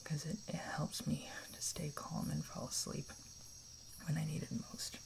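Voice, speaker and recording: A man performs a kind male camper in an ASMR roleplay. His soft voice slips into whispers and heavy vocal fry, staying fairly even in pitch. He is hesitant but gentle, soothing and protective toward the listener. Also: moderate pace. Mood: soothing, reassuring. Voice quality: soft, breathy half-whisper.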